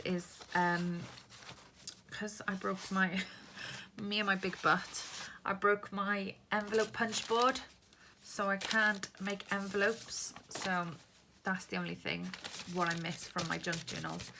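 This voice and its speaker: A woman's voice: mid-range voice, steady tone, a little slow. A Welsh woman chatting casually through a crafting tutorial, rambling as she sorts through papers at her desk. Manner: rambling, chatty, talks while handling papers. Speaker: craft vlogger. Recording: paper crinkling noise close by. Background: paper rustling and crinkling.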